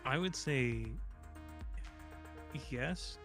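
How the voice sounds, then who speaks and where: A man's voice: medium pitch, extremely slow, fairly bright tone. A man chatting casually as a guest, rambling with many fillers. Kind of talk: casual chat. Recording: music playing behind.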